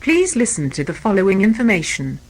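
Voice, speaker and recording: A woman's voice: medium pitch, big pitch swings, brisk, heavy background noise. A woman delivers a scripted emergency announcement. She is formal and impersonal, with a mid-range voice and strongly varied intonation.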